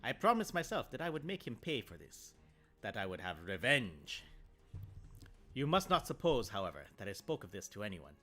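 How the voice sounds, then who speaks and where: Guy doing a fake italian accent for a vengeful proud nobleman, theatrical storytelling, lively pitch. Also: full character voice. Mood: brooding. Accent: put-on Italian. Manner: measured, theatrical narration. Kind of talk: dramatic reading aloud.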